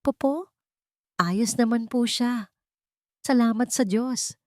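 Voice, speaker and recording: A woman's voice: fairly high pitch, takes it slowly, dry recording, studio quality, pitched above the performer's own voice. A woman voicing a timid, worried young woman in a story, polite and hesitant. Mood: nervous, hesitant. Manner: hesitant, deferential.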